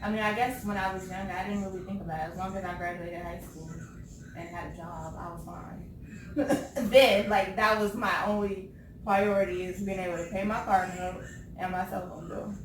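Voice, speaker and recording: A woman in her thirties from California, chatting casually on a talk show, laughing often and pausing with ums. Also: medium pitch, fairly even intonation. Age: 30s. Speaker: co-host. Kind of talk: casual chat. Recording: echoey room, far from the mic, background noise.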